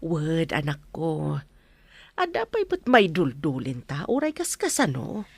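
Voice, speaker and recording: A woman's voice: deep voice, wildly swooping pitch, a little slow, gravelly, darkish tone, slightly rough, no room sound, full character voice. A woman with an Ilocano accent playing a plain-spoken village woman who sells goods, in a Filipino comedy radio drama. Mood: earnest, motherly.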